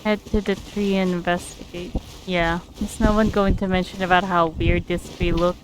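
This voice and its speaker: A woman's voice: lowish voice, flat delivery, moderate pace, low-quality recording. Woman casually commentating a game. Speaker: gamer.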